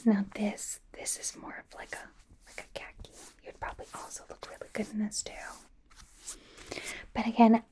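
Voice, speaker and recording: A woman's voice: a little high, little pitch movement, moderate pace, warm tone, natural voice. A woman playing a bubbly, devoted young K-pop idol and stylist in an ASMR roleplay, whispering in a gushing, rambling, playful way. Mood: chatty, admiring. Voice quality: breathy whisper.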